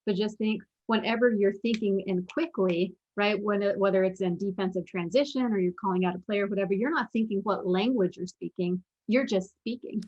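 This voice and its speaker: A woman's voice: mid-range voice, fairly quick, few pauses, darkish tone, lo-fi sound. A young adult woman speaking as an interview guest, earnestly telling her story and quoting her own past thoughts. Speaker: guest, former pro basketball player and mental performance coach.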